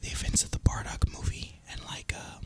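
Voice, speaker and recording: Young man whispering casual gaming ASMR. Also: brisk. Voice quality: soft breathy unvoiced whisper. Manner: casual, relaxed, rambling. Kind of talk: whispered ASMR gameplay commentary.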